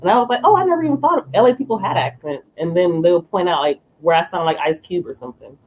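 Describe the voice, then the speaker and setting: A woman's voice: on the low side, expressive, fast, darkish tone, slightly rough, low-quality recording. A woman from Los Angeles with an LA accent, co-hosting a casual livestream and teasing bluntly with casual swearing. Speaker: podcast co-host.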